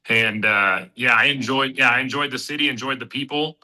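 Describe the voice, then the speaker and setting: A man in his thirties from Kansas City talks loosely as an interview guest. His voice is very bright and ringing at a middling pitch, and he rambles through fillers and restarts. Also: steady tone, normal speed, rough recording. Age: 30s. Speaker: guest, bare-knuckle fighter. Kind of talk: casual interview chat.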